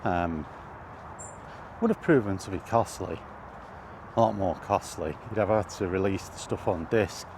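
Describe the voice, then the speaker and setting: A British man giving rambling, casual commentary while walking outdoors, halting and thinking aloud as he goes. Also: low-pitched, animated. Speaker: video host. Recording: outdoor ambient noise throughout.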